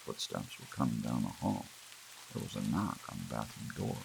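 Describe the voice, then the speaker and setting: Very deep, gravelly man narrating. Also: big pitch swings, moderate pace, rough recording. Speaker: audiobook narrator. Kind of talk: scripted story narration, relaxed bedtime reading. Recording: faint hiss and rain sound. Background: soft rain.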